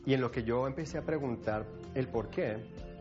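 A man speaking in a halting way with many restarts in a documentary interview. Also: medium pitch. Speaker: tech group founder. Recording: slightly dull audio with music. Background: music.

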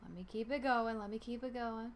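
A woman's voice: on the high side, fairly quick. A young woman with a New York accent, chatting casually on a live stream and reading out names in an informal way. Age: young adult. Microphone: headset.

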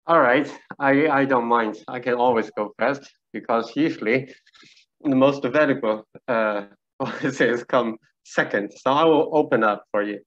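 Man from northern China, masked, easygoing speaker with lots of "uh"s; muffled call audio, mid-pitched voice. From Shanxi, China. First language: a Chinese dialect of Shanxi. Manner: relaxed, many filler pauses, gently joking. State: speaking through a face mask. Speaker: guest speaker. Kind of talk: casual talk on a video call. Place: small room indoors. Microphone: video call with earphone mic.

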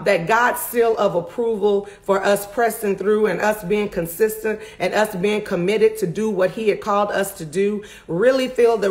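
A middle-aged woman preacher with a raspy voice, recapping a sermon to camera with fervent emphasis from a home study. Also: medium pitch. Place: home study with bookshelves, indoors.

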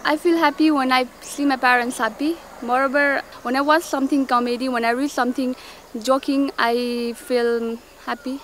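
A woman in her twenties, a student, introducing herself in a relaxed, chatty documentary interview outdoors. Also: high-pitched, moderate pace, slight background noise, poor audio. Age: 20s. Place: outdoors by a hillside.

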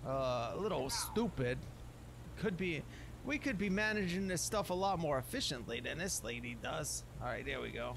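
A man's voice: a little high, lively intonation, moderate pace, noisy background. A man giving casual video game commentary in folksy, slangy speech, muttering along to himself as he plays. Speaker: gameplay streamer.

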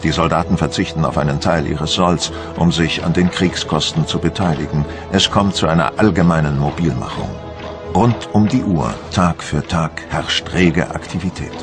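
A man's voice: rumbling low, normal speed. An actor playing a Frenchman of Napoleon's time, narrating a scripted historical documentary. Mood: measured, earnest. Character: Frenchman of Napoleon's time recounting invasion preparations. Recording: music behind the voice.

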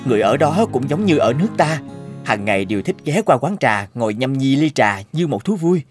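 A man's voice: medium pitch, very animated, a little fast, soft background music, close to an everyday voice. A man playing an earnest, polite young teahouse owner in a cartoon, explaining things respectfully. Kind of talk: Vietnamese cartoon dub.

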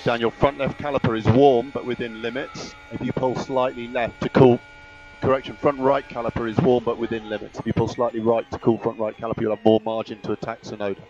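A man speaking as a race engineer over team radio, giving clipped, measured instructions and repeating the key calls. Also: medium pitch, flat delivery, muffled recording. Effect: team radio transmission.

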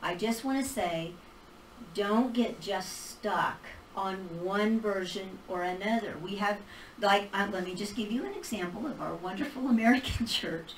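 A middle-aged woman teaching a Bible class in a casual, animated way, laughing often and holding a mic. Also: mid-range voice, normal speed, slight background noise. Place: small church hall with projector screen. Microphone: handheld mic. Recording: roomy hall recorded from far off.

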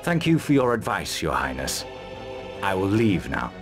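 A resolute military commander in a fantasy game. His voice sits fairly low and he speaks in firm, terse phrases, stern and businesslike, with music clearly behind him. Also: huge pitch swings, moderate pace. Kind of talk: fantasy video game cutscene acting. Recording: loud music under the voice.

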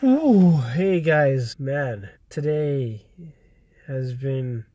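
A man's voice: mid-range voice, expressive, extremely slow, noisy background. A man in his thirties with a stuffy, congested nose, tired, talking casually to a vlog camera in a car. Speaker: vlogger. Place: inside a parked car in daytime. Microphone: camera at close range.

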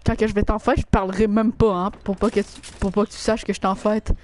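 A woman's voice: medium pitch, level tone, quick pace, noisy background. A woman with a Quebec French accent chatting casually while livestreaming a game, slangy and crude in her teasing. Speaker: video game streamer.

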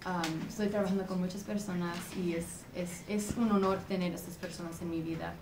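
A woman's voice: lowish voice, steady tone, a little fast. A young woman, a singer answering interview questions and hesitating as she searches for words. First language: English. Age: young adult. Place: dim indoor room. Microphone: far camera. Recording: echoey room, far-off camera mic, clear background noise.

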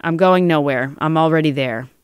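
A man's voice: fairly high pitch, moderate pace, clear, resonant tone, no echo, studio quality, lightly acted. A man voicing a tense, secretive male driver in a thriller story, clipped and guarded. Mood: guarded, strained.

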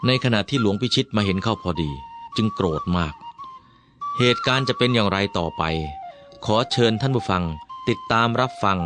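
A man's voice: on the low side, moderate pace. A man narrating a radio drama recap in a formal, scripted storytelling style. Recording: studio narration over loud flute music.

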